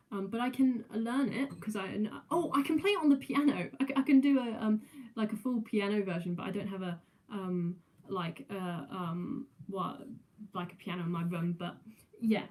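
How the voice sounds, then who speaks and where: A young woman with a mixed southern English and New Zealand accent chatting casually on a live stream, halting with many ums and restarts, recorded without a proper microphone. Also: on the high side, a little mellow, low-quality recording. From England, raised in New Zealand. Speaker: musician streamer.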